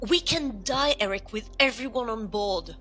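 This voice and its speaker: A woman playing an exasperated female station crew member with a high, very bright voice. She is blunt and insistent in a loose, conversational sci-fi drama, over faint music. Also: lively intonation, moderate pace. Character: exasperated, distrustful woman on a space station team.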